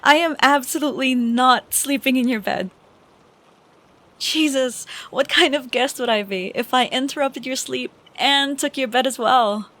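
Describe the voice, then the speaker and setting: A young woman playing an affectionate, troubled college-age best friend in an intimate audio roleplay, speaking softly and hesitantly with sighs. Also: sits high. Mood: playfully insistent. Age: young adult. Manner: soft, hesitant, slow with sighs. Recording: steady background noise of rain. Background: rain sounds.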